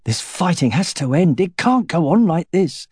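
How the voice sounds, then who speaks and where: Narrator as an ashamed young husband, halting, dark husky tone. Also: male voice, medium pitch, big pitch swings, no echo, clean studio sound, voice pushed up. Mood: anguished. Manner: halting, strained.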